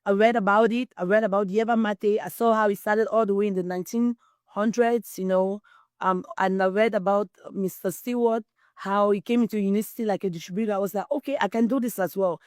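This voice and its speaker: A middle-aged woman from Cameroon tells her own story as an interview guest, earnest and full of conviction. Her voice sits at an ordinary pitch for a woman. The sound is dry, with no room echo. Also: steady tone, a little fast, a little mellow. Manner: earnest, testimonial, quotes herself often. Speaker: guest, wellness distributor.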